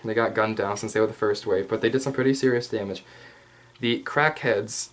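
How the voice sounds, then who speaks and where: A man voicing a male hyena furry commander in a casual, rambling, playfully cocky ASMR roleplay, speaking straight to the listener with slangy asides and hesitant pauses. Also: fairly low pitch, fairly even intonation, natural voice. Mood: casual, boastful. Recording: clear background noise.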